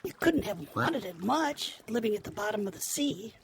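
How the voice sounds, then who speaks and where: A man's voice: sits high, big pitch swings, moderate pace, creaky, noisy background, pitched above the performer's own voice, heavily acted. A man voicing a polite, curious young girl who asks earnest questions.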